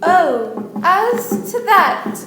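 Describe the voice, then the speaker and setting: A woman's voice: extremely high pitch, very animated, extremely slow, fairly bright tone, full character voice. A woman playing an earnest, naive young medieval damsel in a radio play, speaking formally and guilelessly. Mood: rambling. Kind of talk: old-time radio play acting. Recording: echoey room, music behind.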